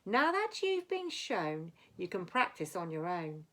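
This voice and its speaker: Storybook dragon schoolmistress, female reader: grand, commanding, encouraging, very animated, unhurried delivery. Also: fairly low pitch.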